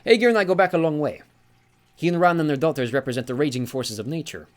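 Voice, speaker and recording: A man's voice: on the high side, fast, voice pushed up, natural voice. A man voicing a vain, cheerful ancient male sea god in an audiobook, breezy and self-satisfied. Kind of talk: audiobook character voice.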